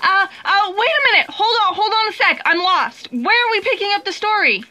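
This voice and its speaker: A woman's voice: very high-pitched, animated, a little fast, sharp tone, heavily acted. A woman playing an eager, earnest, slightly flustered fact-checker who narrates from her notes in a comic sci-fi audio drama. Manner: hesitant openings, earnest, detail-happy.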